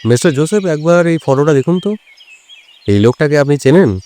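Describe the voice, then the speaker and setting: A man's voice: fairly high pitch, expressive, brisk, dark tone, a little hiss or hum, lightly acted. A man playing a composed, probing detective in an audio drama.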